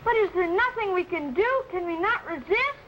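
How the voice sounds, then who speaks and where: A woman's voice: very high voice, expressive, moderate pace, highs cut off. A woman playing an eager, brave young Swiss boy in an old-time adventure serial, with earnest, archaic formal speech. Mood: earnest, curious. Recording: slightly noisy vintage broadcast recording.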